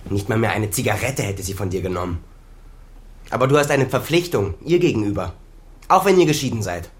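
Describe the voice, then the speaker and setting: A man's voice: on the low side, expressive, fairly quick, lightly acted. A man acting in a naturalistic radio drama as a bitter adult son who cuts at his estranged father with reproach. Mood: reproachful. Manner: accusing, reproachful, cutting.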